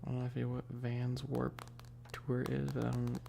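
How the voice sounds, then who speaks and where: A livestream host chatting casually and informally in a soft, close whispery ASMR delivery into a binaural mic, rambling with teasing asides to chat. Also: medium pitch, completely flat delivery, moderate pace, creaky, background noise. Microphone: close binaural microphone. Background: tapping and keyboard clicking.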